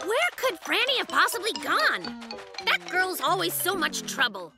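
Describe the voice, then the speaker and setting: Excitable, very high-voiced little bear cub. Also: normal speed, full character voice. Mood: exasperated. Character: excitable little boy bear cub, bossy boastful inventor. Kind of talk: children's cartoon dubbing. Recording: music bed under the voice.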